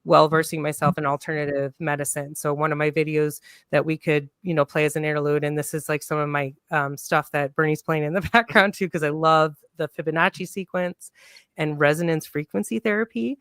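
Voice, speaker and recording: Smooth-voiced man rambling on a call. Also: on the high side, fairly even intonation, a little fast, a bit bright, no room sound, clean studio sound. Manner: rambling, upbeat, many fillers. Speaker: guest. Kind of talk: casual panel chat on a video call. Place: home room on video call.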